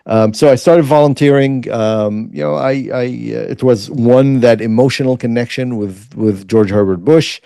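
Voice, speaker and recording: A man's voice: medium pitch, normal speed, creaky, hardly any pauses, dark tone, clean tone, no echo, clean studio sound. A man, telling his story earnestly as an interview guest, with many fillers. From Lebanon. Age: 50s. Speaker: guest, former state legislator.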